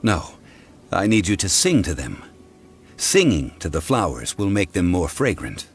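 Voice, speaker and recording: A man playing a composed, learned gentleman in a game, speaking in a measured, formal, explanatory way. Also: sits low, very animated, slight background noise, exaggerated voice. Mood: solemn, nostalgic. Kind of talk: scripted game dialogue.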